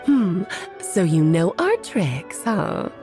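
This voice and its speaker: A woman's voice: on the low side, sing-song, takes it slowly, darkish tone, soft background music. A woman voicing a playful, elegant, mysterious witch aunt in a video game, speaking in a coy, theatrical, genteel manner. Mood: coy teasing. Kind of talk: video game character acting.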